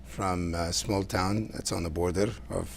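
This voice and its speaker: A middle-aged man from Lebanon, speaking English, answering interview questions earnestly and haltingly, with many fillers. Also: sits low, steady tone, gravelly, a little hiss or hum. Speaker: guest, council candidate.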